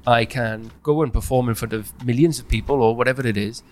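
A man in a candid, personal conversation, talking in an animated way and often acting out his own thoughts. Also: mid-range voice, quick pace. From Wales.

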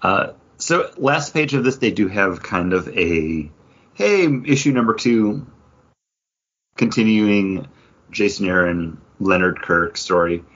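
A man's voice: sits low, lively intonation, takes it slowly, a bit bright, lo-fi sound. A man co-hosting a podcast in loose, casual conversation. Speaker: podcast co-host reviewing comics. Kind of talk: casual chat.

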